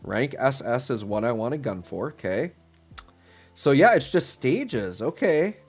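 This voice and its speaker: A man's voice: mid-range voice, unhurried, husky. A man with a stuffy, congested voice, chatting casually and rambling as he streams a game live. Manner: relaxed, rambling, thinks aloud with fillers. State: stuffy, getting over a cold. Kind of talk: casual live-stream commentary while playing. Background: faint background music.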